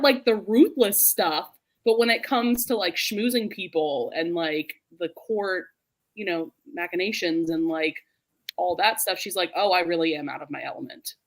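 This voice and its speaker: A woman hosting a book discussion in a casual, animated way, full of "like" and quick to laugh. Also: lowish voice. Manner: animated, laughs readily while talking; informal, heavy use of "like". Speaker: host of a book readalong live show. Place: remote group video call.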